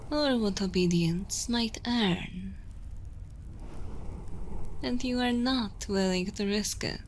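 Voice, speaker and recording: Very slow, soft-spoken evil queen played by a woman. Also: on the high side, a little hiss or hum. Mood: commanding, teasing. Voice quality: soft, breathy, often whispered. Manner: slow, deliberate, commanding, intimate. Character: dominant evil queen, possessive yet motherly, coldly tender. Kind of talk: ASMR roleplay. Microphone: close ASMR microphone.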